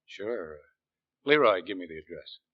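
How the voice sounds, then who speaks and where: Shifty, low-voiced American army sergeant. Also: male voice, animated, a little slow, no echo. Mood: uneasy, evasive. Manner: hesitant, stammering, slangy, evasive. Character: shifty, nervous army sergeant dodging questions. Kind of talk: 1940s radio drama acting. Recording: dull, dry 1940s radio recording.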